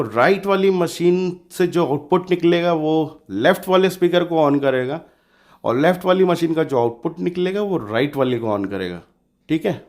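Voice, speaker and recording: A man's voice: on the high side, a little mellow. A man hosting a hands-on electronics tutorial in a casual, informal, colloquial way, often repeating himself for emphasis. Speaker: DIY electronics channel host.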